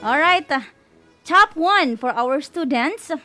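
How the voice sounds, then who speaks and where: Female awards co-host, Filipino accent, high animated voice presenting placers over clear background music. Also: slow pace. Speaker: co-host of an online awards ceremony. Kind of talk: announcing award winners, congratulatory.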